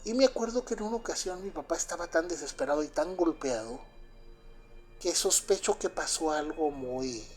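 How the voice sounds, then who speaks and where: A Cuban-born man with a Mexican Spanish accent who was raised in Mexico, telling a first-person story in a halting, confiding way full of fillers and restarts. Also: a little high, lively intonation, faint music behind. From Cuba, raised in Mexico. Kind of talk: first-person spoken recollection.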